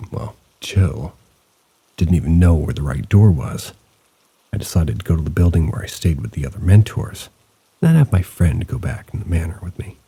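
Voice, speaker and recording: A man narrates eerie first-person stories in a low, gravelly voice. His tone is very dark and husky, and he keeps a measured, low-key storytelling pace. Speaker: host and narrator. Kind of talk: reading listener stories aloud.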